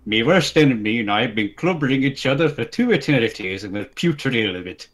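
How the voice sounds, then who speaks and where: A man's voice: mid-range voice, lively intonation, quick pace, lightly acted. A man voicing a grumpy, smug bearded brute who taunts with rustic grammar in a storybook.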